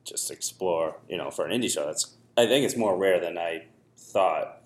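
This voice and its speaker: Man, podcast host, very low pitch, casual conversation, hesitant halting delivery. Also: animated. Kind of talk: casual chat.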